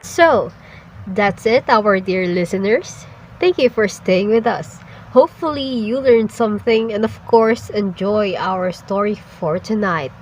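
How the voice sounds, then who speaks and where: A woman's voice: mid-range voice, unhurried, slight background noise, low-quality recording. A woman hosting a student radio show, speaking enthusiastically to listeners.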